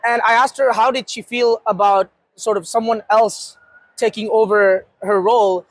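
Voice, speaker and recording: High-voiced man asking halting questions. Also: steady tone, dry recording, low-quality recording. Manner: halting, many fillers and restarts. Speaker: interviewer. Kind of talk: casual convention interview questions.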